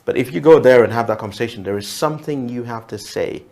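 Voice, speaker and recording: A man's voice: medium pitch, quick pace, gravelly, darkish tone. A man from Uganda whose first language is Luo, speaking reflectively as a TV talk show guest, often restarting and repeating words.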